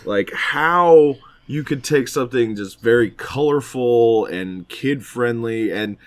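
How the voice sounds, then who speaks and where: A man's voice: mid-range voice, slow. Casual, opinionated man on a podcast. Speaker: podcast co-host. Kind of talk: casual chat about films.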